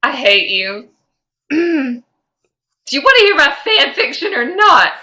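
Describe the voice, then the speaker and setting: A woman's voice: high-pitched, big pitch swings, slow, pushed and bright. A woman who hosts a podcast, heard on a slightly lo-fi recording. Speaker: podcast host. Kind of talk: casual banter.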